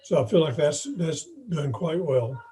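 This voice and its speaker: A hoarse man, speaking haltingly and often clearing his throat, gives an informal report as club president on a video call. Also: fairly high pitch, warm tone. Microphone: video call microphone.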